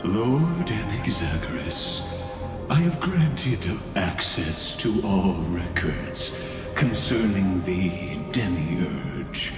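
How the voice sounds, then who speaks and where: A man playing a menacing godlike mastermind villain in a video game, coldly courteous and mocking, with grandiose, deliberate delivery. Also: low-pitched, big pitch swings, exaggerated voice. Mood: cold, superior. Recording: long hall reverb over music.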